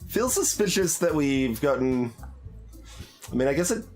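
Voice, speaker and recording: A man giving casual, halting playthrough commentary as a game streamer, thinking aloud in an analytical, musing way. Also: on the high side, big pitch swings, darkish tone, faint music behind.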